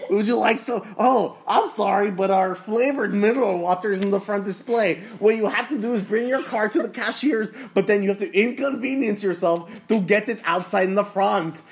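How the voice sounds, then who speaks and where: A man doing a loud, higher-pitched impression of a brash, rude male grocery clerk, laughing and insulting customers. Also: moderate pace, few pauses, slight background noise. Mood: manic.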